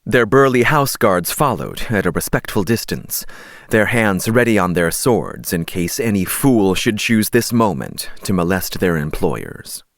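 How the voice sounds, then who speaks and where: Male storyteller for fantasy fiction: lowish pitch, close dry sound, scripted literary narration. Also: lively intonation, a little slow, no room sound. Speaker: audiobook narrator.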